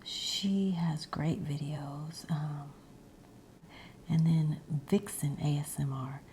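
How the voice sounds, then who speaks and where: A woman ASMR creator rambling in a breathy whisper mixed with soft, hushed speech, meandering as she goes. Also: deep voice, fairly even intonation, takes it slowly, a little hiss or hum.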